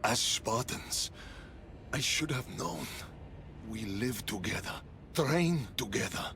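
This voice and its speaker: Solemn Spartan general, gravelly and animated. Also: male voice, medium pitch, slow pace, a little hiss or hum, heavily acted. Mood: resolute. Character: proud dead Spartan general, wounded in spirit, honorable. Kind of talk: dramatic video game acting.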